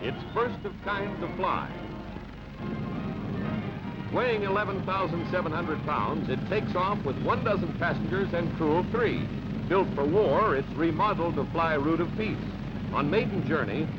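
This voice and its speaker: A man's voice: high voice, lively intonation, moderate pace, muffled recording. A man narrating an old newsreel from a script in a jaunty, theatrical announcer's manner. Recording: band-limited old newsreel, music underneath. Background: background music.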